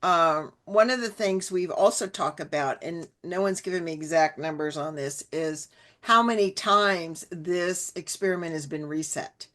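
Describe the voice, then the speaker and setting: Lower-pitched woman hosting a podcast, asks long loose questions with lots of like. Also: unhurried, fairly bright tone. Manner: long rambling questions with "like" and restarts. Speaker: podcast host. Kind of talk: interview questions.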